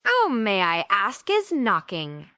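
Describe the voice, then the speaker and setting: A woman's voice: medium pitch, huge pitch swings, takes it slowly, sharp tone, clear, resonant tone, no room sound, clean studio sound, heavily acted. A woman playing a playful, theatrical thirteen-year-old girl who dreams of stardom, in a stage reading. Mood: playful, excited.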